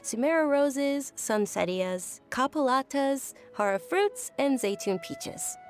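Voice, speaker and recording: A woman voicing a gentle, gracious young noblewoman in a video game, polite and softly explanatory. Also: sits high, animated, slow pace. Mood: gentle, informative. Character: gentle, gracious young noblewoman, frail yet cheerful and devout. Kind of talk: video game character acting. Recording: music behind the voice.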